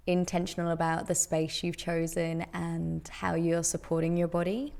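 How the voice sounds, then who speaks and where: A woman leading a guided meditation with gentle, deliberate instruction in long, unhurried phrases. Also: on the low side, gravelly.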